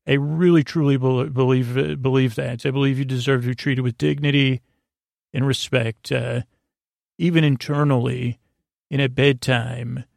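A man hosting a sleep podcast with a goofy, rambling bedtime monologue, haltingly trailing off and doubling back. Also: medium pitch, steady tone, gravelly, dry recording, clean studio sound. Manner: whimsical, goofy.

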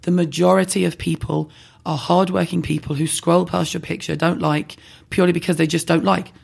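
A woman with a husky, heavily gravelly voice talks fast and bluntly. She is animated and self-deprecating, trading candid views in a podcast conversation. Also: on the low side. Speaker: skincare blogger guest.

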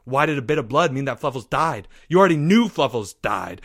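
A man voicing an accusing schoolboy in a story, interrogating in a deliberate, commanding way. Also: mid-range voice, animated, fairly bright tone, no room sound, clean studio sound. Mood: cool, suspicious. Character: stern, accusing schoolboy playing detective.

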